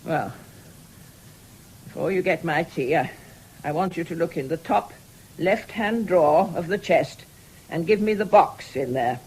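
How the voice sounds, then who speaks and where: An actress playing an imperious, sharp-tongued but generous older mistress in a 1970s radio drama, with brusque, commanding speech. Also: female voice, on the low side, lively intonation, measured pace, pauses often, a little hiss or hum, poor audio. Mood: brisk, bossy.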